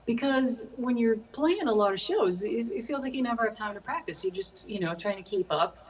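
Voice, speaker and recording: A woman's voice: a little high, animated, a little fast. A woman with a faint Swedish accent, a musician guest chatting casually in an interview, with plenty of hesitant fillers. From Sweden. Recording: voice a bit far from the mic.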